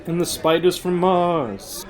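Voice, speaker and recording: A man playing a bright, joking high school boy with a casual, quippy manner in an audio drama. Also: slow pace, faint music behind, a faint crowd. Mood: amused.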